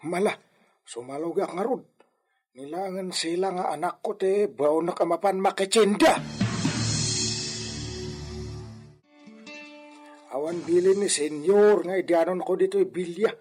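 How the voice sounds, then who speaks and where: A man's voice: high-pitched, normal speed, a little mellow, husky. A man with an Ilocano Filipino accent playing a chatty, folksy old ranch hand who rambles and stammers in a comic radio drama. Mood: flustered, deferential. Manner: rambling, stammering, exclaiming, chuckling to himself.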